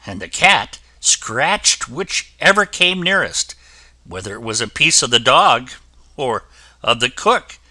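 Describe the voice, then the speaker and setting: A man reading a children's fairy tale aloud as the storyteller, with an expressive delivery. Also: medium pitch, takes it slowly, no echo.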